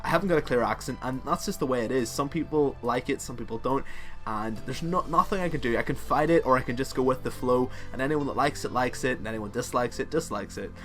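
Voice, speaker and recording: A man's voice: mid-range voice, fast talker, fairly bright tone. Man, Northern Irish accent, young adult, casual game tutorial, rambling with fillers, faint music underneath. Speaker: game guide maker. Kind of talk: game strategy tutorial voice-over.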